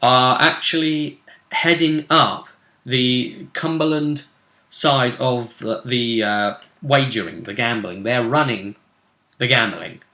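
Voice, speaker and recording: A man hosting a casual chat about a tabletop role-play game, telling it with emphatic, drawn-out phrasing. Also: medium pitch, sharp tone. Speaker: host. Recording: roomy, echoey room sound.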